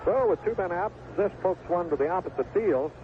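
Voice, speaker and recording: A man's voice: sits high, expressive, brisk. A man calling live baseball play-by-play on the radio in a folksy, colorful way, recorded at the ballpark. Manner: folksy, colorful turns of phrase. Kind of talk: live play-by-play sports commentary. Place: outdoor ballpark broadcast booth. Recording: tinny, noisy 1970s AM radio broadcast.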